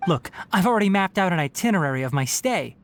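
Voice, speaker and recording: A woman's voice: on the low side, expressive, quick pace, slight background noise. A woman voicing a fox-eared young male forest ranger in a video game, articulate and matter-of-fact, dryly fussy yet caring. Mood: friendly, informative. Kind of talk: video game character voicelines.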